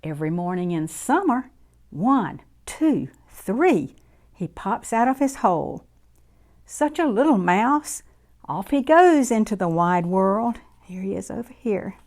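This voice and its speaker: Female children's storytime reader. Unhurried, expressive, sing-song delivery with plenty of pitch movement. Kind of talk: read-aloud storytime for young children.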